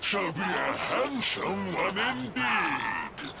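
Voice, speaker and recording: Theatrical monster villain, video game, mocking, archaic diction, highish swooping pitch, noisy backdrop. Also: male voice, big pitch swings, very slow, gravelly, raised voice, exaggerated voice. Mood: mocking, grandiose. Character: gloating theatrical monster general, grandiose and mocking.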